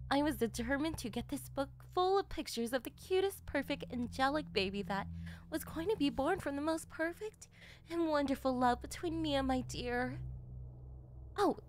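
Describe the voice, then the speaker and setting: A woman voicing a doting, obsessive yandere mother, chatty and sugary, in an ASMR roleplay. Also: on the high side. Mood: fond, excited.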